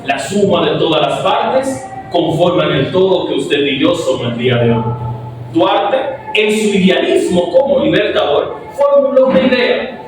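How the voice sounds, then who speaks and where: Young Dominican man, speech full of rhetorical questions, high animated voice, distant mic in a very echoey hall. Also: a little slow, noisy background. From Dominican Republic. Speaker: philosophy student presenter. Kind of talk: academic speech. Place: event hall before an audience.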